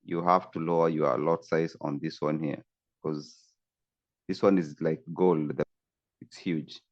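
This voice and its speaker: A man's voice: rumbling low, steady tone, a little slow, dull audio. A man from Kenya, a trading coach, steadily explaining charts in a screen-share tutorial over a video call.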